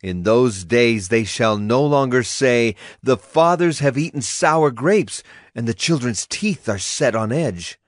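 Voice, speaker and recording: An actor playing a solemn Hebrew prophet, proclaiming oracles earnestly in a dramatized scripture reading. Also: male voice, mid-range voice, expressive, takes it slowly, no room sound, studio quality. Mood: solemn proclamation. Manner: declamatory, earnest. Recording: music behind the voice.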